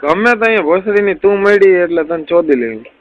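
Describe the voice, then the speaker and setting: A man's voice: sits high, expressive, fairly quick. A young adult man, talking casually and crudely as a caller, swearing often, on a recorded phone call.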